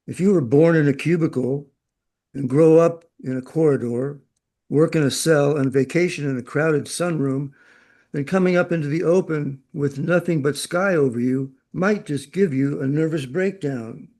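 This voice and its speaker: Guy acting as a chatty worldly man in a sci-fi radio play, kinda high voice, breezy, knows it all. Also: normal speed, darkish tone. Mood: breezy, knowing. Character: chatty, knowing, worldly man sounding out a newcomer.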